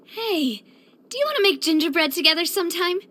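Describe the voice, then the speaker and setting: A young woman voicing a cheerful, elegant young lady leader in a video game, earnest, chatty and expressive. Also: very high voice, fairly quick, exaggerated voice. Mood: playful, eager. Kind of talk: video game character voice lines.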